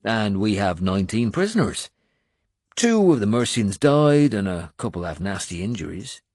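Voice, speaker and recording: A man voicing a blunt, gruff Irish male warrior with an Irish accent, scolding and coarse. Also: lowish voice, big pitch swings, normal speed, darkish tone, dry recording, clean studio sound. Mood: cheerful.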